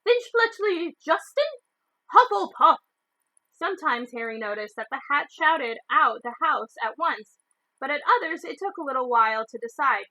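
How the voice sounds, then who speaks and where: A woman storyteller reading a children's novel aloud in expressive narration. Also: sits high, moderate pace, dry recording, low-quality recording.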